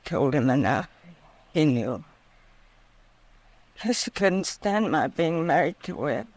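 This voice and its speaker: An actress playing a dying, resigned young frontier wife in an old-time radio western, weak and halting. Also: female voice, low-pitched, big pitch swings, a little mellow, exaggerated voice. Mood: sad, resigned. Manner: halting, faint. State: weak, fading.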